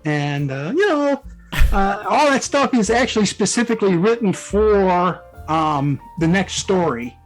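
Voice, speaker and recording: A man being interviewed, chatting casually as a guest and explaining things in a halting, self-interrupting way. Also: high voice, big pitch swings. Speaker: interview guest, fan fiction author and musician. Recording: music playing behind the voice. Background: music.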